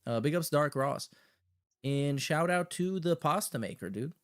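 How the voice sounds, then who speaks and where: A man's voice: on the high side, lively intonation, a little slow, dry recording, studio quality. A man hosting a livestream with casual, slangy, sweary commentary and a sarcastic edge. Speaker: livestream host. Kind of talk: casual chat with shout-outs and commentary.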